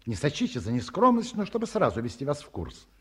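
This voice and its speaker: A very husky middle-aged gentleman scholar in a 1950s Soviet radio drama. He is courtly and pleased with himself, with ornate, hesitant phrasing and a voice that swings expressively in pitch. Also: wildly swooping pitch, fairly quick, full character voice. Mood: modestly boastful. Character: courtly, self-satisfied middle-aged male academic, wistfully polite. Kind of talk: mid-century Soviet radio play acting. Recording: muffled-voiced 1950s radio studio recording.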